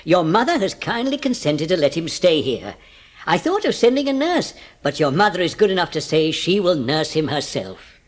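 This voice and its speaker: A woman acts out a kindly old grandfather in an audiobook drama. The voice is fairly low and expressive in pitch. The old gentleman speaks with genteel courtesy. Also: normal speed.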